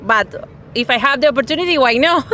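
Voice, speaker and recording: A woman in her twenties from Argentina with an Argentine Spanish accent, a native Spanish speaker answering in halting English, shy and giggly. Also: high-pitched, animated, pushed and bright. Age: 20s. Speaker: interviewee, student. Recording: outdoor street noise with traffic.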